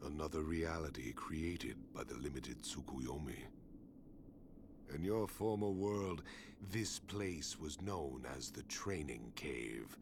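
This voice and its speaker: A man playing a smug, sinister masked mastermind in an English anime dub, with a gravelly, ominous delivery. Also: rumbling low, expressive, slow, noisy background, heavily acted. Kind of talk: English-dubbed anime acting.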